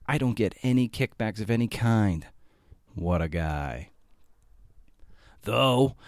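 Male podcast host, lowish voice, casual and wry delivery, very dry sound. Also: no echo. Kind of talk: scripted podcast intro and interview questions.

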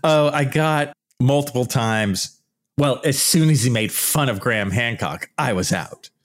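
Man, loud shouty delivery, exasperated vlog rant, mid-range voice. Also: medium pitch, measured pace. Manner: loud, animated, exasperated ranting with laughs. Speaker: archaeology YouTuber. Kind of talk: casual vlog commentary.

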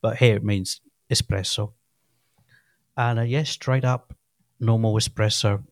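A man in his fifties with a fairly low voice, chatting loosely as a podcast co-host. He rambles and restarts his sentences often. The recording is dry and close, with no room sound. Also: fairly even intonation, a little slow, dry recording. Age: 50s. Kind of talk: casual chat.

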